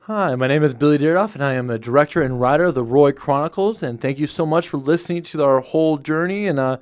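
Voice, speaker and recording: A man's voice: a little high, quick pace, smooth. A man speaking casually as writer and director, thanking listeners at the end of his audio drama.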